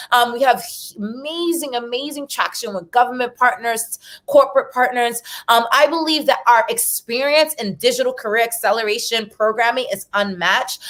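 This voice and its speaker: American-accented woman founder, slightly high voice, earnest pitch delivery with repeated emphasis. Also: a bit bright. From Haitian descent. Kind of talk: pitch presentation.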